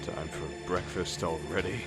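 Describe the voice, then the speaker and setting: An actor playing a defiant, sardonic captive colonel in a radio drama. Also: male voice, sits low, steady tone, a little slow, music in the background. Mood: wry defiance. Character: wry, defiant captive older male colonel.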